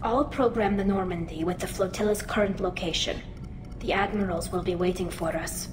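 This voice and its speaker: A woman's voice: medium pitch, normal speed, over loud music, close to an everyday voice. Woman acting earnest young alien woman, roomy sound. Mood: somber, explaining. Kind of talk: dramatic video game dialogue.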